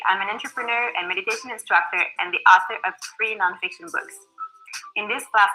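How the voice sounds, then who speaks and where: A woman with a French Canadian accent, a course instructor presenting a scripted promo in an earnest, measured way. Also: mid-range voice, sharp tone, low-quality recording.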